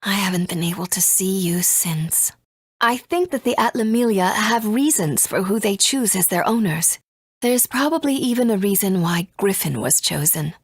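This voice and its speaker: A woman voicing a gentle, earnest mother and resistance fighter in a video game, soft and breathy, speaking in a measured, tender way. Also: medium pitch, no room sound, studio quality, natural voice. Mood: earnest, solemn. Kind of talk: English video game voice acting.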